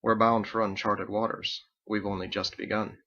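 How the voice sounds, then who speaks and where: Man playing a gravelly, musing old-fashioned ship's captain. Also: fairly low pitch, level tone, sharp tone, lo-fi sound. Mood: ruminating. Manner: ruminating, unhurried, bookish phrasing. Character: educated, drowsy, pipe-smoking ship's captain, musing.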